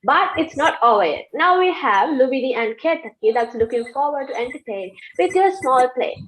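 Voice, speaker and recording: A woman presenting to a class in a casual way, with a few uh pauses. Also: sits high, animated, a bit bright, a little hiss or hum. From Sri Lanka. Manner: informal, with fillers like uh. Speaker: student presenter. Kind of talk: prepared presentation. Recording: muffled lo-fi audio.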